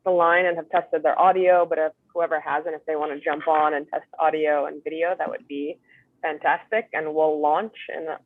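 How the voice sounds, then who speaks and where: A woman organizing a webinar's technical setup in a brisk, organized way before it goes live. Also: low-pitched, level tone, no echo. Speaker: webinar producer. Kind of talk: casual logistics chat. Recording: tinny phone-like call audio.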